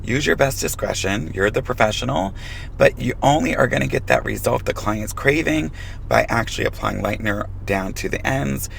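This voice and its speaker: A man who is losing his voice, hoarse, giving frank advice to fellow stylists in a hair colouring tutorial. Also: medium pitch, lively intonation, moderate pace, fairly bright tone, slight background noise, poor audio. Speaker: hair colorist.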